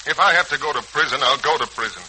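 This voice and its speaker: A man's voice: fairly high pitch, lively intonation, very fast, low-quality recording. An actor playing a stubborn, exasperated young man in a 1940s radio drama. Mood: defiant. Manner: forceful, stubborn. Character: defiant, exasperated young man facing prison. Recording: hissy old radio recording.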